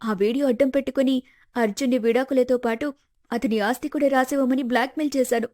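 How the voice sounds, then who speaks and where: A woman playing a high-voiced scheming young wife. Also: fairly even intonation, fast, darkish tone, lightly acted. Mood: smug confiding. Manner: melodramatic, pleading. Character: scheming young wife faking tearful innocence. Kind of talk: animated moral-story dialogue.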